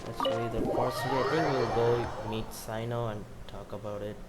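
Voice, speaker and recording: A man's voice: medium pitch, steady tone, normal speed. A man giving casual gameplay commentary as he plays, with game music behind him. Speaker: gamer.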